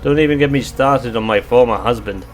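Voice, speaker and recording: An actor in an audio drama playing an ancient, weary, haughty sea goddess disguised as a gentleman scientist, with pompous, old-fashioned formal diction. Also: medium pitch, fairly quick, soft background music, lightly acted. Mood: bitter, grieving.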